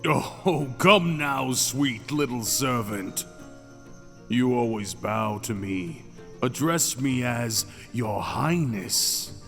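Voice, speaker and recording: A man voicing an ancient, mad captive dragon king for an intimate ASMR roleplay, seductive, possessive and menacing, with teasing, drawn-out phrasing. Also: medium pitch, lively intonation, fairly bright tone, full character voice. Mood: teasing, languid. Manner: languid, teasing, drawn-out phrasing with dramatic pauses. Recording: long cavernous reverb, music behind.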